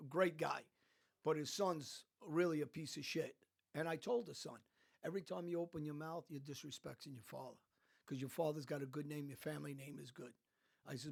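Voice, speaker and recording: American guy, gravelly, kinda high voice, emphatic storytelling, restarts a lot. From United States. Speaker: podcast guest. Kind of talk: casual conversation.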